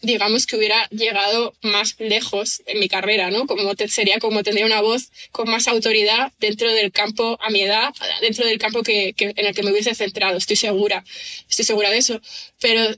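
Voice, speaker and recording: A woman's voice: medium pitch, little pitch movement, fairly quick, sharp tone. A middle-aged woman, a writer talking through her ideas as an interview guest, full of fillers and restarts. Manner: thinks aloud with many fillers and restarts, laughs easily. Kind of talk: reflective conversational interview.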